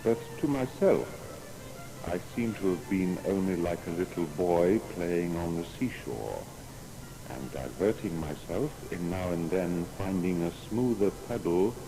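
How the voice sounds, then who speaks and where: Deep, reflective old scientist over music. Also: male voice, lively intonation, unhurried, low-quality recording. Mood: humble, reflective. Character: Isaac Newton, modest, reflective older scientist, quoting himself. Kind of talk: dramatised biography reading.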